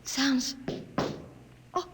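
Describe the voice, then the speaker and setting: A woman acting in 1950s radio drama style as a passionate, defiant young heroine, emotional and melodramatic. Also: extremely slow. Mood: anguished, pleading. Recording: noisy old radio recording, long reverb.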